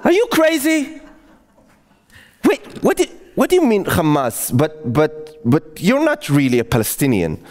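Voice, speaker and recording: Excitable teen girl, high and gushing, put on by a man, lively swoops. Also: measured pace. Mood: alarmed. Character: girl a little older than the son, chatty and nervous.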